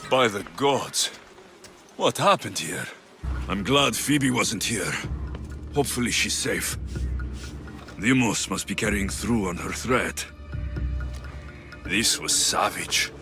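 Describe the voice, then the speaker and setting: A man's voice: fairly low pitch, animated, takes it slowly, pauses often, music in the background. A man playing an intense, protective, hot-tempered ancient Greek warrior in a dramatic game cutscene. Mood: grim shock. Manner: emotionally volatile, intense.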